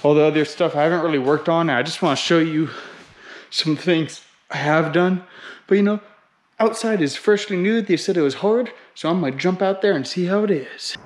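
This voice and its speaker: A man's voice: on the high side, moderate pace. Casual young man in an echoey gym. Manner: laid-back, swears freely, slangy. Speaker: climbing vlogger. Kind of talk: casual talk to camera between climbs. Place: indoor bouldering gym. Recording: echoey gym with music behind. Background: background music.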